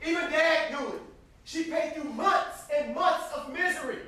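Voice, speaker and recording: A man's voice: very high-pitched, normal speed, pushed and bright. A man acting an exasperated, indignant young brother defending his family in an amateur stage play. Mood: pained, pleading. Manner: indignant, pleading. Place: indoor theatre stage. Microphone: distant camera microphone. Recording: distant, very echoey stage recording.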